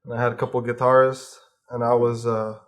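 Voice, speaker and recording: Young male artist from Palestine; mellow, unhurried, monotone talker in a barbershop. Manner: laid-back, low-key, flat and unhurried. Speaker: hip hop artist guest. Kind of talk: casual interview chat. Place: barbershop, getting a haircut.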